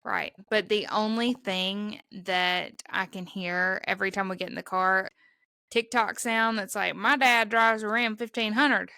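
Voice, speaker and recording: A young Southern woman from Mississippi chats on a podcast, acting out the lines she quotes. Her voice is gravelly and bright, with a touch of fry. The recording is close and dry, with no room sound. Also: mid-range voice, steady tone, unhurried, dry recording. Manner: chatty storyteller, acts out quotes. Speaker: podcast co-host. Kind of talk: casual chat.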